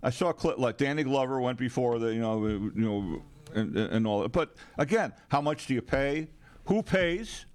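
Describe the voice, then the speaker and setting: A man's voice: medium pitch, lively intonation, normal speed, darkish tone. An American man co-hosting talk radio in conversational banter, emphatic and exasperated, often repeating himself. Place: radio studio.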